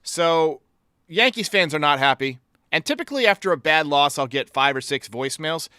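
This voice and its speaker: Male fan-podcast host, sports commentary. Crisp, higher-than-average voice, blunt and sarcastic. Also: animated, moderate pace, pushed and bright, no room sound, clean studio sound.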